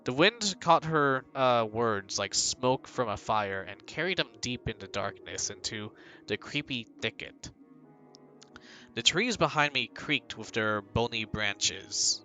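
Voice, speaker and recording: A man streaming a game, reading its narration aloud and drifting into joking, rambling asides full of fillers. His voice is bright and sharp at a middling pitch, with faint music behind him. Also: lively intonation, slow pace.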